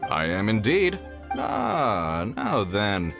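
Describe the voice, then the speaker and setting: A man's voice: lowish voice, huge pitch swings, drawn-out words, gravelly, exaggerated voice. A man voicing a jovial, shrewd middle-aged mine foreman in a game, talking in a hearty, confident way. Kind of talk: game voice acting. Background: faint game music.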